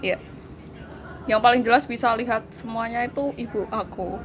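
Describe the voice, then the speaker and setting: A woman's voice: fairly high pitch, normal speed, a crowd in the background. A woman, chatting casually and giggling as she tells a spooky story. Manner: giggly, laughs through her story. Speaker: co-host telling ghost stories. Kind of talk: casual chat.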